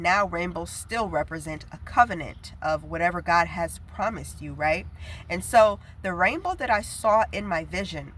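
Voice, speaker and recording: A woman's voice: fairly low pitch, a bit bright, slight background noise. A young woman, nasal from a head cold, sharing a prophetic message in an earnest, chatty, rambling way. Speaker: prophetic video preacher. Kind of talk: casual spoken prophetic message.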